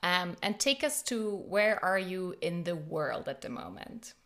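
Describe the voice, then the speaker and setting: Low, gravelly-voiced woman hosting gently. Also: big pitch swings, unhurried. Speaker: podcast host.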